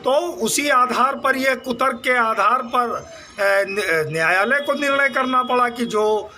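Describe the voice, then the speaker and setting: A man giving a spontaneous reaction to the press, arguing haltingly and repeating phrases. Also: very high voice, pushed and bright. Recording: clear ambient noise around voice.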